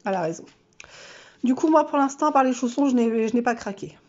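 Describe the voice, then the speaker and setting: A woman's voice: on the high side, animated, quick pace, darkish tone, muffled recording, slight background noise. A woman vlogger with a stuffy, nasal voice from a cold, chatting casually and self-mockingly through her monthly challenges with lots of fillers.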